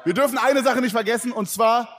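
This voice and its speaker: A man co-hosting a live podcast on stage, excitable and teasing the audience. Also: extremely high pitch, a little fast, faint music behind. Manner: excitable, swearing, teasing the crowd. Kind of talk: live comedy podcast banter. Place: large sold-out concert hall. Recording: live hall recording with loud crowd.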